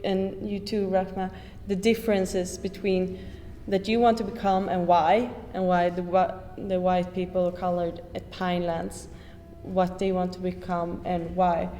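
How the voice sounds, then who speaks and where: An eighteen-year-old woman from Sweden, a native Swedish speaker, asking a question in a halting way full of "uh"s during a casual discussion. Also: fairly low pitch, flat delivery, dark tone, faint music behind. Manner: halting, many "uh"s. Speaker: exchange student. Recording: reverberant hall with faint noise.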